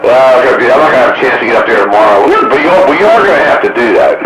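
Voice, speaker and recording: An American man speaks casually with animated, varied intonation. He is heard over two-way radio with an echoey sound and very heavy noise. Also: medium pitch, racing. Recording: very noisy, echoey radio transmission.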